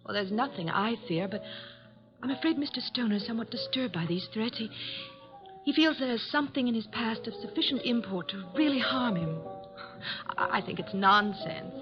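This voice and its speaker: A woman's voice: fairly high pitch, lively intonation, moderate pace, music in the background, natural voice. A woman playing a charming young actress consulting a detective in an old radio drama, polite, earnest and slightly hesitant. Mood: earnest, confiding. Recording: muffled old radio recording.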